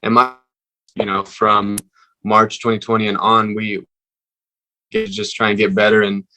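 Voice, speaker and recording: Young man with a deep, flat voice. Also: no echo, rough recording. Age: young adult. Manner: halting, many fillers and restarts. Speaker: musician guest. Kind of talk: interview answers.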